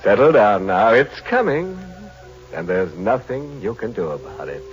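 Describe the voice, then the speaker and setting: An actor playing a macabre, tongue-in-cheek host of an old radio horror show, sly and theatrical. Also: male voice, lowish voice, very animated, unhurried. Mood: gleefully macabre. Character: macabre, tongue-in-cheek male horror host who relishes dark puns. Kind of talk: 1940s radio horror hosting and narration. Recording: dull old radio recording with music.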